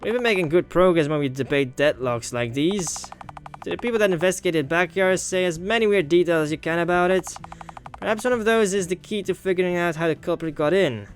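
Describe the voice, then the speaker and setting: A man voicing earnest teenage friends in visual novel dialogue, expressive and conversational, as they debate a mystery. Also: high-pitched, fairly quick, slight background noise, close to an everyday voice.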